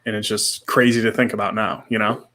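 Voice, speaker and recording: A man's voice: fairly low pitch, flat delivery, fairly quick, sharp tone. A man in his thirties co-hosting a casual podcast, talking in a laid-back, slangy way with short repeated reactions, sometimes reading out comments. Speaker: podcast co-host.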